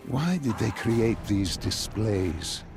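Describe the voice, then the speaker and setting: Solemn guide with lively intonation. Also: male voice, lowish voice, music in the background. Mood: probing, philosophical. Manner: deliberate, formal, solemn. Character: solemn, probing male guide, philosophical and insistent. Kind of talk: dramatic scripted game dialogue.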